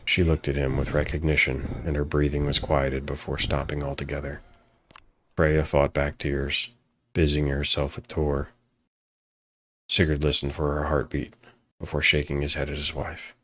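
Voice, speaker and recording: A man narrating a scripted fantasy story in a solemn, measured manner. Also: extremely low pitch, completely flat delivery, a bit bright.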